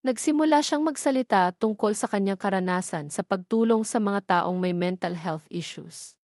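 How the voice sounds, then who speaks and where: Woman reading out a love story audio drama, normal pitch, no room echo at all. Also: normal speed, darkish tone, studio quality. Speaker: story narrator. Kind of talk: scripted narration of a romance audio drama.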